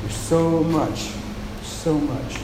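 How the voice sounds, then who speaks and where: A man preaching a sermon, soft-spoken and often repeating words as he restarts a thought, after reading scripture aloud. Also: fairly high pitch, fairly even intonation, extremely slow. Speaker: pastor. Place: church with a large echoing room. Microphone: handheld mic. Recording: echoey, reverberant hall with noise.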